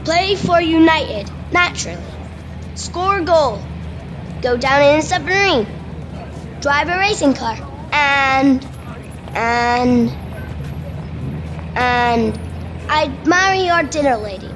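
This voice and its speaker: Radio play: eager, boastful Scottish schoolboy dreamer, played by a woman. High voice, leisurely delivery, noticeable background noise. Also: lively intonation, slow, long pauses. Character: cheeky, daydreaming schoolboy, butcher's son under sixteen. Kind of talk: amateur radio play acting. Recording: noisy remote home recording.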